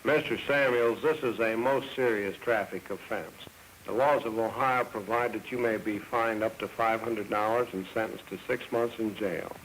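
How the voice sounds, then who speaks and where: A man's voice: lowish voice, steady tone, moderate pace, fairly bright tone, poor audio. A man speaking as a judge in a stern, official, formal courtroom manner. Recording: vintage film sound, faint noise.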